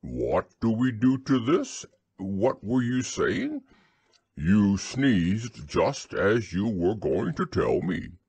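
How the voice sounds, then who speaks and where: A man voicing a humble, earnest, slow-witted bear in a storybook, with a plodding manner. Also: on the low side, huge pitch swings, a little mellow, slightly rough, no room sound, heavily acted. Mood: insistent. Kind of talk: storybook character reading.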